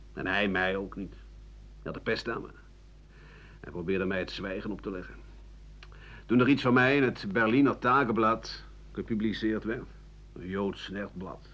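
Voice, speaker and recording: Husky, bitter old man. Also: lowish voice, fairly bright tone. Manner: rambling, coarse, sardonic, stammering at times. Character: bitter, self-justifying old French doctor-writer looking back. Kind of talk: radio play monologue. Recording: dull lo-fi 1980 radio recording.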